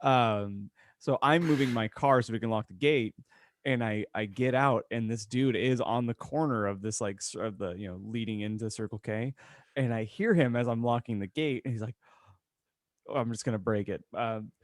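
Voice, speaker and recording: A man co-hosting a podcast, telling a casual anecdote to friends in an animated, self-correcting way. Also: mid-range voice, normal speed, no echo, studio quality. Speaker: podcast co-host.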